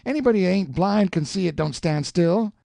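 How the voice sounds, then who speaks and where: A man's voice: sits high, lively intonation, moderate pace, creaky, warm tone, no room sound, studio quality, voice pushed up. A man voicing a stubborn, indignant country boy in rustic dialect.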